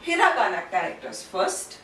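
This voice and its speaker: A woman's voice: mid-range voice, huge pitch swings, moderate pace. A woman teaching a language lesson in a didactic way, posing questions and answering them herself. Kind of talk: instructional lesson, explaining and drilling sounds. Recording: roomy echo, distant mic, faint noise.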